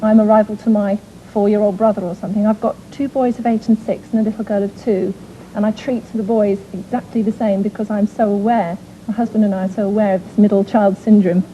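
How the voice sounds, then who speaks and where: A woman's voice: medium pitch, steady tone, quick pace, a little hiss or hum. A mother gives her view earnestly from a talk show audience. The TV recording is dull and lo-fi. Faint room ambience sits behind her.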